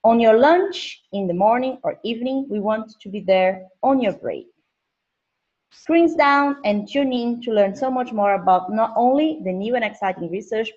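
A woman hosting a podcast interview from home over a call, talking in halting, restarting phrases. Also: mid-range voice, a little mellow, highs cut off. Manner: hesitant, restarts sentences. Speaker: podcast host, lecturer. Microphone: home setup over digital platform.

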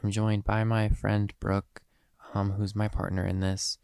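Gravelly, nasal nineteen-year-old man. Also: on the low side, monotone, a little slow, darkish tone, dry recording. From Eastern Pennsylvania. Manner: rambling, earnest, many ums and restarts. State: head cold, slightly nasal. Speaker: podcast host. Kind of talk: casual solo podcast monologue.

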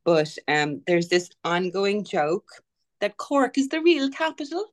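A woman's voice: medium pitch, big pitch swings, measured pace. A woman with a Dublin Irish accent, chatting casually as a guest author and telling stories with easy laughter. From Ireland. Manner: chatty, laughs easily, storytelling. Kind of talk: casual podcast chat.

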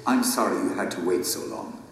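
An actor playing a gentle, reverent guide in a heavenly kingdom, speaking formally in an audio drama. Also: male voice, lowish voice, lightly acted. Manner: solemn, measured, formal. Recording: echoey great hall, distant, faint noise.

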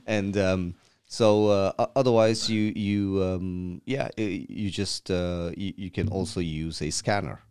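Podcast guest: Sri man, deep low voice, dry close sound, chatty and full of restarts. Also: steady tone, slow pace, a little mellow, smooth, no echo. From Sri Lanka. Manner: repeats and restarts words often, chatty. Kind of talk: casual conversational explaining. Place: rented room.

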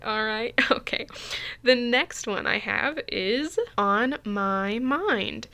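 Young female podcast host, casual and chatty, smooth clear tone. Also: a little high, a bit bright. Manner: chatty, laughs easily, restarts phrases.

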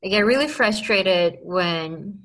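A woman talks as a guest on a podcast, earnest and often circling back to restart her sentences. Her voice has a bright, forward ring at a middle pitch. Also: lively intonation, slow pace, low-quality recording. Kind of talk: casual podcast conversation.

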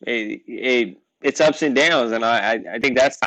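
Young guest, Portuguese first language, loose rambling talk, dry close sound. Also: male voice, mid-range voice, measured pace, a bit bright, no echo, low-quality recording. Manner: loose, rambling, says "like" and "you know what I mean" a lot. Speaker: rapper guest. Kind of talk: casual interview chat.

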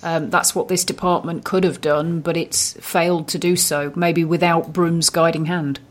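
A woman's voice: fairly low pitch, steady tone, moderate pace. A woman discussing films casually as a podcast panellist, in a thoughtful, analytical manner.

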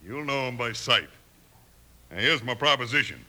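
A cocky outlaw boss with a very hard, bright edge and a middle-pitched voice. He is smug and commanding in folksy western speech, on a faintly hissy old radio recording. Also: expressive, moderate pace. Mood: commanding, scheming. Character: cocky, scheming outlaw gang leader, man. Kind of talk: 1950s western radio acting.

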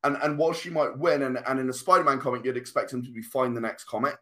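A man's voice: medium pitch, steady tone, fast, a bit bright. A man speaking as an interview guest, thoughtfully explaining his creative work at length in conversation. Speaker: comic and game writer, interview guest.

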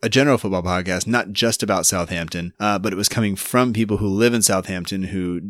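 Deep-voiced man hosting a podcast. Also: fairly quick, no echo, clean studio sound. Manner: relaxed, rambling, many fillers. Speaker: podcast host. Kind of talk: casual podcast interview and intro.

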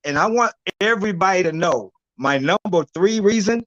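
Older guest in his sixties with a loud preachy delivery, high voice that swings all over, dry sound. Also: expressive, normal speed, dry recording, muffled recording. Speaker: guest herbalist. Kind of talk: preacher-like storytelling.

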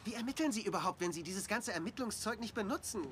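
A man's voice: high voice, expressive, fast talker, a bit bright, slight background noise. A man playing an awkward, exasperated young man, hesitant and defensive, in a dubbed TV drama. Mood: exasperated, wary. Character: exasperated, awkward, reluctant young man, detective's unwilling sidekick. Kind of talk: dubbed TV comedy-mystery drama acting.

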